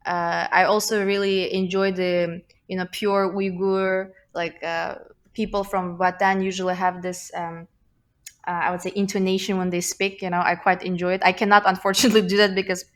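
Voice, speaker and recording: A woman's voice: on the low side, level tone, normal speed. A young woman with a slight Russian accent, a guest talking thoughtfully in a casual interview, with many fillers and restarts. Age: young adult.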